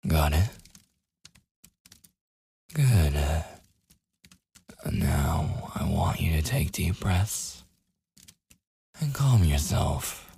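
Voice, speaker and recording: A man playing a gruff, protective werewolf with a secretly tender side in a boyfriend ASMR roleplay, his husky, gravelly voice often dropping toward a whisper as he gives grudging commands. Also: deep voice, sing-song, warm tone, slight background noise, exaggerated voice. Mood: protective, soothing. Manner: slow, grudging, possessive, commanding. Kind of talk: boyfriend ASMR roleplay, intimate scripted acting.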